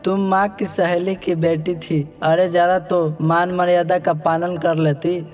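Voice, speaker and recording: Cartoon role: dutiful young village son and fed-up husband, male actor, raised pitch, earnest reproach. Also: little pitch movement, measured pace, darkish tone, soft background music. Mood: firm, reproachful. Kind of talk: Hindi moral-story cartoon dubbing.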